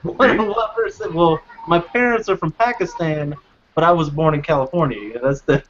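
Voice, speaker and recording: A man co-hosts a casual podcast, swearing often and wandering through his points with restarts and fillers. His voice sits high for a man. The recording is dull and lo-fi. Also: normal speed, fairly bright tone, a little hiss or hum. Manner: profane, rambling, with restarts and fillers. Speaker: podcast co-host. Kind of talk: casual chat.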